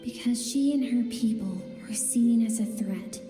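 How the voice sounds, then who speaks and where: An actress voicing the gentle, suppressed inner self of a swordswoman in a video game, speaking softly and sorrowfully. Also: a little high, a little slow, natural voice. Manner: soft, sorrowful, pleading. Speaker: game character. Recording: roomy reverb under game music.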